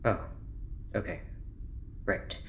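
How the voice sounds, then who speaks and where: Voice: slow pace, slight background noise, natural voice. An actor playing an earnest, awkward male doctor in an audio drama, hesitant, polite and easily flustered. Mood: flustered, worried.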